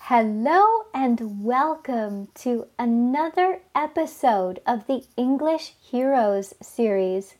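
Woman podcast host, fairly high voice, very slow careful delivery, teacher-like clarity. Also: darkish tone. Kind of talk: slow, clear podcast interviewing.

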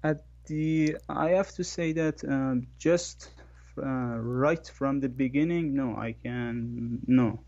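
A man from Iran speaks English, answering slowly and hesitantly, with plenty of fillers as he searches for words. His medium-pitched voice sounds dry and a little dull, as if from a modest home mic. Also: darkish tone, no echo. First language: Persian. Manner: hesitant, thinking aloud with fillers. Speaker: podcast guest, English learner. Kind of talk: interview answers.

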